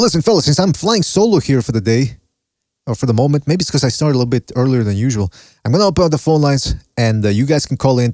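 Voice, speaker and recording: A man's voice: medium pitch, darkish tone, no echo. A man with a mixed foreign accent hosts a boxing show alone, chatting loosely and reading viewers' comments aloud. His voice sits in a middle register. The sound is dry and close, with no room around it. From Mexico. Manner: casual, rambling, cursing, laughs often. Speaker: podcast host. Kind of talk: solo live-stream boxing chat, reading chat.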